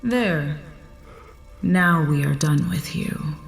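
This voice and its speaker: An actress playing an imperious alien entity speaking through a human host, stilted and declarative, in an audio drama. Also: female voice, low voice, very slow, gravelly, full character voice. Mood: cold, commanding. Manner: stilted, declarative, borrowed slang in short flat pronouncements. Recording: roomy echo, music behind.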